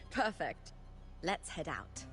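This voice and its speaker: A woman's voice: low voice, big pitch swings, slow, soft background music, full character voice. A woman voicing a proud, spirited young female warrior champion with a ceremonious manner in video game dialogue. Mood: inviting.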